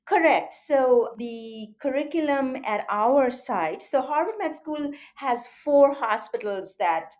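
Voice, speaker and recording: A middle-aged woman with an Indian accent, a surgeon and medical educator, recounting her career in an interview in a measured, articulate way. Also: sits high, lively intonation, a little mellow. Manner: measured, articulate, with occasional fillers.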